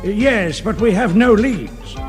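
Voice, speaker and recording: Bossy cautious spy boss, high voice, official, music underneath. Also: male voice, lively intonation, slow. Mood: skeptical, cautioning. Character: authoritative, cautious male intelligence chief. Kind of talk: audio storybook acting. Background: background music.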